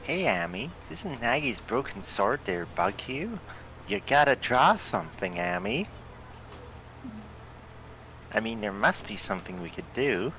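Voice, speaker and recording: A man reads game dialogue with a bright, animated voice that swoops all over. He takes his time, with faint noise behind him. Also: mid-range voice, measured pace, gravelly. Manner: playful, voicing a companion character. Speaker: let's play host. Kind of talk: reading game dialogue aloud with friends.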